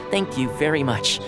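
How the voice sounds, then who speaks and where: A weary pragmatic official. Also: mid-range voice. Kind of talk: video game voice acting. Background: game music.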